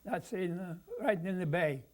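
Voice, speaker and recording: A man, speaking haltingly in an oral history interview about his life. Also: high-pitched, darkish tone. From Croatia. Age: 90s. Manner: halting, with many pauses and fillers. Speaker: veteran being interviewed.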